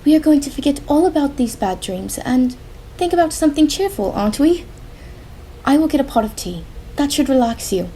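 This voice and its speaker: An actress playing a caring young gentlewoman in a radio drama, soothing and coaxing in manner. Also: sits high, animated, brisk, a little hiss or hum, low-quality recording.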